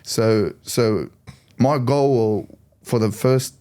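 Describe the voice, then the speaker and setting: A young man in his twenties, born in Lebanon, talks in a mellow, warm voice. He answers in a relaxed, easygoing way, pausing and restarting as he gathers his thoughts. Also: mid-range voice. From Lebanon, raised in Australia. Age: 20s. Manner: easygoing, laughs along, halting. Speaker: courier, guest. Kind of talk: casual interview answers.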